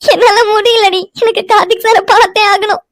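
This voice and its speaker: A woman's voice: very high voice, fairly even intonation, brisk. A woman playing a distraught, lovesick young woman in an audio melodrama, crying and whining insistently. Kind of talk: melodramatic audio drama dialogue.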